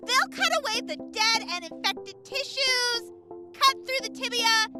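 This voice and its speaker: Casting: actress as sardonic wasteland medic woman, very high animated voice, radio drama, music underneath. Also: heavily acted. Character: sardonic, blunt young female medic of a wasteland caravan radio. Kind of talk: audio drama acting.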